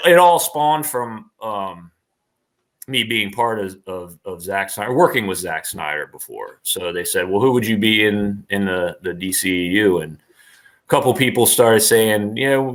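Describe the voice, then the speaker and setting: A man's voice: lowish voice, fairly bright tone. A man talking casually as an interview guest, rambling and enthusiastic in a slangy way.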